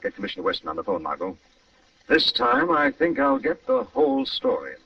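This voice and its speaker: A man acting a shrewd, composed gentleman detective in an old radio drama. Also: very high-pitched, huge pitch swings, brisk, creaky, a little hiss or hum, exaggerated voice. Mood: urgent. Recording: muffled old radio recording, distant and roomy.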